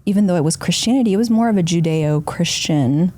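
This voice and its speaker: A woman's voice: on the low side, fast, warm tone. A woman from Argentina, a podcast guest, talking reflectively in long flowing sentences. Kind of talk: casual podcast conversation.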